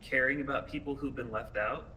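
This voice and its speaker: A man talking casually and thoughtfully as a game developer, with hesitant pauses. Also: medium pitch, steady tone, bright, forceful tone. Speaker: game art director. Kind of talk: casual panel talk. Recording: distant mic with faint noise.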